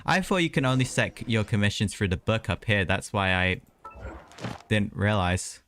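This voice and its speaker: Male streamer: fairly low voice, casual, chatty gameplay commentary, easygoing. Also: animated, moderate pace, a bit bright, slight background noise. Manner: easygoing, chatty, reacting aloud. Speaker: game live streamer.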